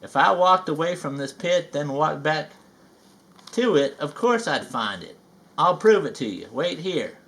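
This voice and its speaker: A man's voice: fairly high pitch, expressive, a little slow, a bit bright. A man voicing a fussy, easily frightened rabbit in a children's storybook, anxious and argumentative.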